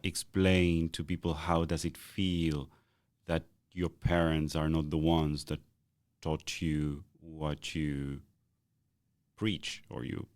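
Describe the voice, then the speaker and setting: Deep booming man, thoughtful and halting. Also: flat delivery, a little mellow, no room sound. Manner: thoughtful, halting with repeated starts. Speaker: podcast co-host. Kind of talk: reflective conversational chat.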